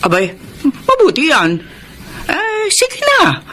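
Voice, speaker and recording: A woman's voice: extremely high pitch, huge pitch swings, takes it slowly, sharp tone, a little hiss or hum, full character voice. A woman playing an older, protective and scolding grandmother in a Filipino radio drama, exclamatory and firm. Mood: concerned, urging.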